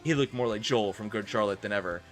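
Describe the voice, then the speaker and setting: A man's voice: lowish voice, quick pace. A man giving a comic dramatic reading for a live stream, theatrical and often breaking off into amused asides. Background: music.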